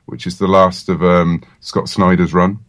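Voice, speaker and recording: A man's voice: low-pitched, level tone, a little slow, dry recording, studio quality. An English man in his forties, a writer being interviewed, chatting casually and enthusiastically. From England. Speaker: comic book writer, guest.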